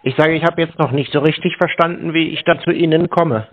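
A man's voice: on the high side, steady tone, fairly quick. A man talking on a phone call in a dry, sceptical, questioning way. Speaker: show host answering a scam call. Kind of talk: phone conversation.

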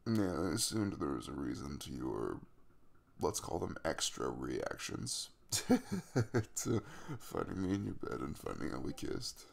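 A young man playing an easygoing, caring crush in an intimate ASMR roleplay, rambling casually with a jokey, reassuring manner and a gravelly voice. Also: a bass voice, big pitch swings, unhurried, heavily acted. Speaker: audio roleplay performer.

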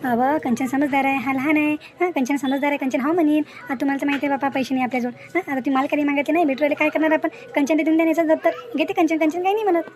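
A woman's voice: sits high, steady tone, racing, hardly any pauses, darkish tone, close to an everyday voice. A woman voicing a practical, worried mother of a poor village family in a moral-story cartoon, with a Varhadi Marathi accent. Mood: fretful arguing. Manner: matter-of-fact, fretful.

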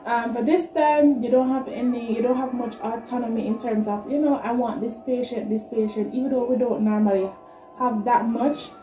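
A woman's voice: on the high side, steady tone, normal speed, warm tone. A woman in her twenties with a Jamaican accent, chatting casually and directly to viewers as a vlogger. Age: 20s. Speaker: vlogger, nursing student. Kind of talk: casual vlog talk to camera. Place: small plain room indoors. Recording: echoey room, music behind. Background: background music.

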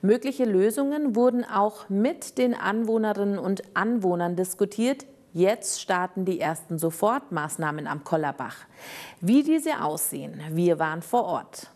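A young woman news presenter introducing a story from a studio in a lively announcing tone. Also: mid-range voice, measured pace.

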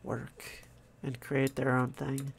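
A man quietly narrating his own drawing process, in a soft-spoken, calm way, thinking out loud. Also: mid-range voice, slow, vocal fry, a little hiss or hum. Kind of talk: casual relaxed commentary over drawing.

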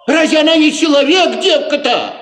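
An actor playing a pious, simple old peasant father who talks haltingly and repeats filler words, in a Soviet radio play. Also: very high-pitched, expressive, a bit bright. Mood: agitated, pleading.

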